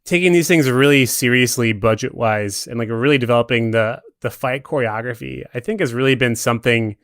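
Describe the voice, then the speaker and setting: A man with a medium-pitched voice, recorded dry without room sound. He chats casually about films as a guest, measured and analytical, qualifying his points as he goes. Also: a bit bright, no room sound. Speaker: podcast guest. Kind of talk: casual film discussion.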